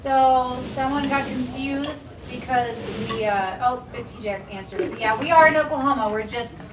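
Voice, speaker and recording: A woman co-hosting a livestream, reading out the chat in a relaxed, amused way. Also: high-pitched, normal speed, far from the mic. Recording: echoey room off-mic, with noise.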